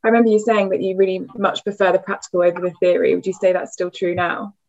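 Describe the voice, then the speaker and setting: A woman interviewing a guest, asking quick, encouraging questions. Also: lowish voice, fairly even intonation, darkish tone. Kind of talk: conversational interview. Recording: dull lo-fi sound.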